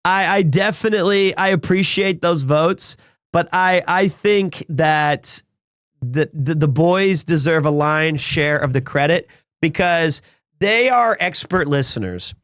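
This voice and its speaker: A man in his thirties, chatting casually with viewers on a live stream, slightly raspy and hoarse after being sick, rambling and excitable. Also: a little high, measured pace, no echo, studio quality. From Wales. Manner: rambling, restarts often, excitable.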